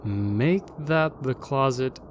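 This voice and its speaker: A man's voice: mid-range voice, slow pace, very noisy, close to an everyday voice. A man playing a grumbling, sceptical ghost in an audio drama, with dry, sardonic delivery.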